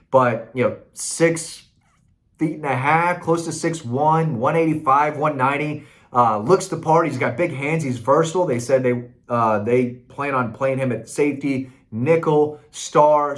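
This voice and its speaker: A man hosting a sports recruiting update, talking casually and in slangy, opinionated terms to viewers. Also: mid-range voice.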